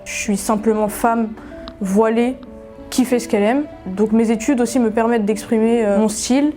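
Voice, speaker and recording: A woman's voice: a little high, fairly even intonation, moderate pace, warm tone, faint music behind. Nineteen-year-old woman, matter-of-fact. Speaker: fashion student. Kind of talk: self-introduction interview.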